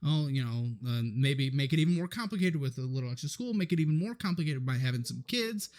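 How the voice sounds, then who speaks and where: Slightly high-voiced man in loose podcast talk. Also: expressive, fast talker, a little mellow. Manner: loose, filler-heavy talk. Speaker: podcast guest, financial show host. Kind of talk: podcast conversation.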